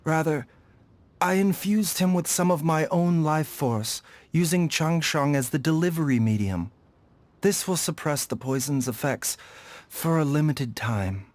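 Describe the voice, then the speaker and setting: An actor playing a gentle, composed young male herbalist doctor in a video game, polite and formal. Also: a little high, dry recording, lightly acted. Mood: explaining calmly. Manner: polite, measured, soft-spoken formality. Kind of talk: video game character acting.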